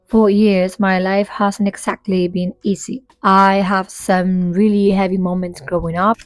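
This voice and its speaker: A young woman from Spain, whose first language is Spanish, talking in English in a soft-spoken, candid and reflective way to her camera. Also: medium pitch, dry recording. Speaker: vlogger and independent singer. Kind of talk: improvised personal talk to camera.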